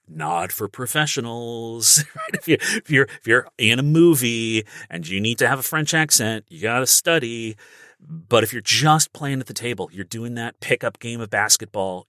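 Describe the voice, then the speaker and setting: A man giving animated, instructive explanations as a guest voice coach in a podcast conversation. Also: mid-range voice, no echo, studio quality.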